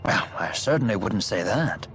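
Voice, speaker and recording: Man voicing a genteel, low-voiced old gentleman. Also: animated, moderate pace, lightly acted. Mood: contented. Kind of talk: anime game dub acting. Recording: music behind.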